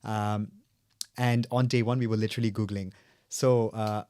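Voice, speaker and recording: A man's voice: fairly low pitch, level tone, normal speed, mellow, clear, resonant tone, no echo, clean studio sound. A young man from South India, a native Telugu speaker, telling his story earnestly and reflectively as a guest in a podcast interview. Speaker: guest, fragrance brand co-founder.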